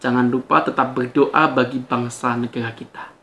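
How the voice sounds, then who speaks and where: A man's voice: medium pitch, flat delivery, normal speed, noisy background. A man delivering a preachy rebuttal monologue to viewers, emphatic and challenging, with rhetorical questions.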